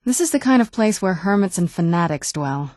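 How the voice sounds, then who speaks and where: A woman's voice: lowish voice, expressive, a little fast, a little mellow, dry recording, natural voice. A woman playing a youthful-seeming ancient vampire noblewoman in a video game, wry and guarded, with a dry conversational delivery. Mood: measured, guarded. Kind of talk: video game character dialogue.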